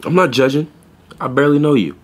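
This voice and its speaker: An actor playing an unimpressed young pet store clerk in an audio drama, terse, blunt and deadpan. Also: male voice, mid-range voice, fast talker, lightly acted. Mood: dry, guarded. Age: young adult.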